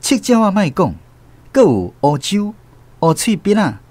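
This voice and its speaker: A man's voice: sits high, sing-song, unhurried, a little mellow, no room sound, exaggerated voice. A man with a Taiwanese accent playing a storyteller who recalls his village childhood in a radio drama. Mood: reminiscent, animated storytelling.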